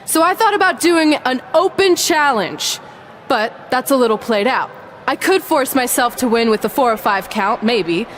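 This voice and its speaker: A confident young wrestling champ in a game, highish voice, chatty, bit of noise. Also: female voice, animated, moderate pace, a bit bright. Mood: proud, confident.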